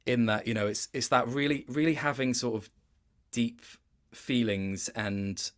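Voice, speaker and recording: A man chatting casually as an interview guest, reflective and hesitant as he thinks aloud. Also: medium pitch. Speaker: guest, game voice actor.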